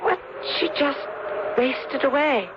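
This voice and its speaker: High-voiced, fragile young orphan. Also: female voice, expressive, slow. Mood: wistful, resigned. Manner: hesitant, fragile, genteel. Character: frail, trusting, melancholy young British orphan woman. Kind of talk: old-time radio melodrama acting. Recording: dull, noisy old radio broadcast.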